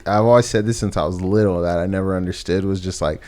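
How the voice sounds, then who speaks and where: A young man from the United States, speaking with a fairly low voice as a podcast guest. He muses in a relaxed, reflective way, circling back and restarting his sentences as he works through an idea. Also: fast talker. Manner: reflective, rambling with many fillers and restarts. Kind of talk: casual conversation.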